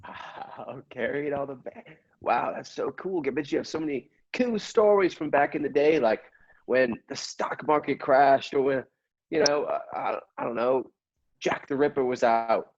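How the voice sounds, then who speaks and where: A man playing an excitable, easily awed newsboy who stammers and repeats himself in an improvised comedy sketch. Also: medium pitch, moderate pace, highs cut off, natural voice. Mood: awestruck. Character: excitable, awestruck young newsboy, eager and fawning. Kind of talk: improvised comedy sketch spoofing old radio plays.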